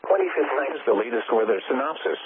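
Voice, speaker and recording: A man reading an automated weather radio bulletin in a flat, even manner. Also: mid-range voice, fast, noisy background. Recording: tinny radio broadcast.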